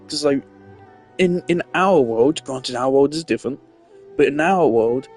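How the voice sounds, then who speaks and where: A man giving loose, rambling commentary while playing a game. His voice sits at a middling pitch, blunt and prone to swearing. Faint game music runs underneath. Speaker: gaming streamer. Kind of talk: casual gameplay commentary.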